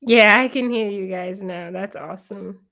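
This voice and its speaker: A woman with a hoarse voice from being sick, hosting a casual live-streamed interview over a video call. She giggles often and chats loosely with many fillers. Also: medium pitch, normal speed, pushed and bright. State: hoarse from illness. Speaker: interview host. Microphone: computer mic on a video call.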